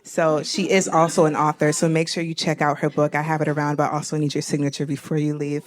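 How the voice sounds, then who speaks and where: A woman's voice: deep voice, little pitch movement, quick pace, a crowd in the background. A young woman from Miami hosting a live stage conversation, talking candidly and laughing easily. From Miami, Florida. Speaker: host. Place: live event stage before an audience.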